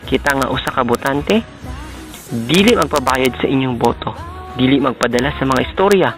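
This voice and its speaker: A man's voice: mid-range voice, animated, normal speed, a bit bright, faint music behind, natural voice. A man narrating an amateur radio drama as a storyteller with a moral, in a declamatory, scripted way. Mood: solemn. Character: storyteller giving moral about honest voting.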